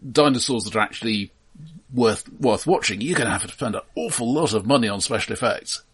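Male co-host with a raspy, fairly low voice, casually analysing films with dry delivery. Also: fairly quick. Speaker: podcast co-host. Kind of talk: casual film discussion.

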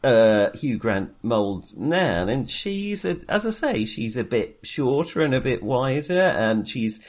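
A man's voice: mid-range voice, big pitch swings, slow. A man co-hosting a film podcast in casual discussion, hesitantly circling back and qualifying his points. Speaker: film review podcast co-host.

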